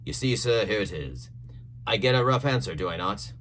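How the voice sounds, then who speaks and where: A man voicing a brisk, commanding ship's captain. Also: lowish voice, animated, fairly bright tone, slight background noise. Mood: urgent, grave. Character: blunt, resolute male ship's captain planning against mutiny.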